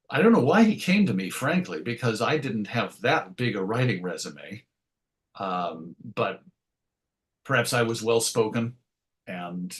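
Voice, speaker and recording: A middle-aged man with a mid-range voice speaks as an interview guest. He is articulate and reflective, choosing his words with care. His delivery is conversational and modest, with a self-deprecating streak. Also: mid-range voice. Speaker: interview guest, writer and radio show host.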